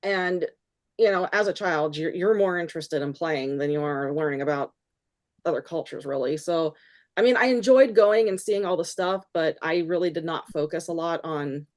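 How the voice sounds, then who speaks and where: A woman guest in a casual interview, speaking haltingly with frequent restarts and fillers. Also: deep voice.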